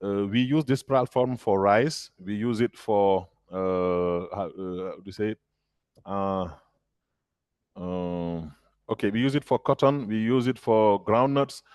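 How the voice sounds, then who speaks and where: Guy on a webinar call, hesitant, lots of uhs, dry low voice. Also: a little slow, a little mellow, clean tone, no room sound. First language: French. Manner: hesitant, many fillers and restarts. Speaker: agritech founder answering questions. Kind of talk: webinar Q&A answer. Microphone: video call.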